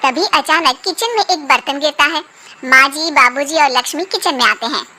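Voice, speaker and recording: Female cartoon narrator: extremely high, sharp, bright voice for scripted comic storytelling. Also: moderate pace.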